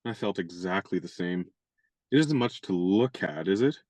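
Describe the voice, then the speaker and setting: A man playing a wordy, courtly young gentleman. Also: deep voice, lively intonation, normal speed, no room sound. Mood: genial. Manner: courtly, elaborate, playfully pompous phrasing. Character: genial young gentleman adventurer, chivalrous and wordy. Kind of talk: radio play acting.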